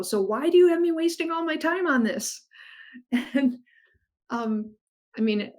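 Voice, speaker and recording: Woman author, middle-aged, fairly high voice, eager storyteller, video call. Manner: enthusiastic, animated storyteller. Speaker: guest author. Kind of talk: casual interview chat.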